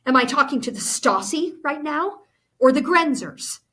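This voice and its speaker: Woman reading as a bitter, high-voiced accusing girl. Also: animated, normal speed, sharp tone. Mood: bitter, angry. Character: bitter, angry, guarded girl narrator of a novel.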